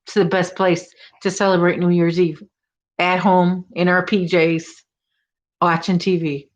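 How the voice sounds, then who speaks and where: A woman's voice: lowish voice, flat delivery, measured pace, muffled recording. Chatty woman with a Chicago accent. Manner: chatty, reads viewer comments, teasing. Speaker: crochet livestream host. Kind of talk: casual live chat while crocheting. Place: home.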